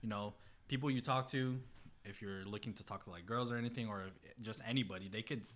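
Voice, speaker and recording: A man's voice: fairly low pitch, quick pace, fairly bright tone. A young man chatting casually and earnestly in slang as a podcast guest. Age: young adult. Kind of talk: casual chat.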